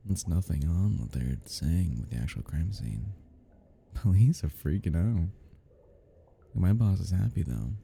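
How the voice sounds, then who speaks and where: Guy doing a creepy obsessed yandere stalker, low voice, slow and swoopy, giddy menace, intimate roleplay style, kinda gravelly. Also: lively intonation, dark tone, a little hiss or hum, heavily acted. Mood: obsessed, admiring. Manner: rambling, breathy chuckles, drops into whispers. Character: obsessed yandere male crime scene cleaner, giddy, menacing. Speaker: audio roleplay performer.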